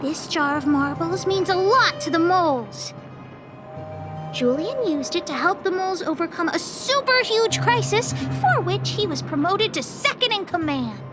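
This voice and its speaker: A cheeky little girl who bosses her gang around in a video game. The voice is extremely high and childlike, swinging up and down with great animation. She sounds self-important and excitable, with music playing underneath. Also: unhurried. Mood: indignant, proud. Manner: self-important, cheeky, refers to herself by name. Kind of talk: video game character voice acting. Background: background music.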